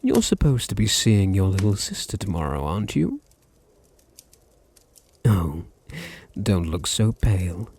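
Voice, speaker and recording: Deep, husky British vampire master acted by a man. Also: very animated, a little mellow, no room sound, heavily acted. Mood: mock hurt. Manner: slow, teasing, condescending, drops into breathy murmurs. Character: possessive, dominant male vampire master, silky and menacing. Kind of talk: intimate ASMR audio roleplay.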